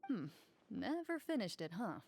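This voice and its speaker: An actress playing a composed, stern young woman hunter in a video game, speaking in a measured, formal, authoritative way. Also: fairly high pitch, sing-song, gravelly, darkish tone, no echo, heavily acted. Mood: composed, encouraging. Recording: clean voice over background music. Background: instrumental game music.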